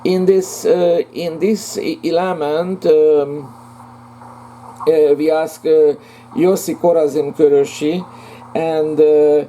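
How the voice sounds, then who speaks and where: Slow, halting man hosting a lecture. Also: a little high, fairly even intonation, warm tone, a little hiss or hum. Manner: halting, many fillers and restarts. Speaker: lecture series host. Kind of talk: introducing a speaker.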